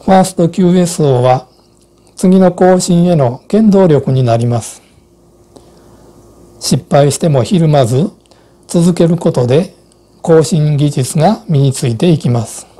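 Older man with a very dark, mellow voice. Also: fairly high pitch, measured pace, pauses often. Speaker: co-host of an amateur radio Morse code channel. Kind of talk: scripted personal narration and instruction.

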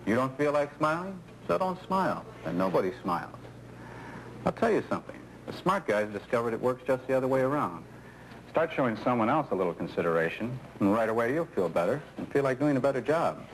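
An actor playing a seasoned, folksy American coworker giving persuasive advice in a 1970s training film. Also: male voice, medium pitch, big pitch swings, brisk, low-quality recording. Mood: earnest, coaxing. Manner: colloquial, persuasive, rhetorical questions. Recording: 1970s film soundtrack, faint noise.

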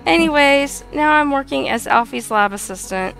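A woman voicing a brash, warm-hearted female warrior fish creature in a blunt, informal way for a video game. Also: high-pitched, expressive, moderate pace, vocal fry, faint music behind, exaggerated voice, low-quality recording. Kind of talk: video game dialogue reading.

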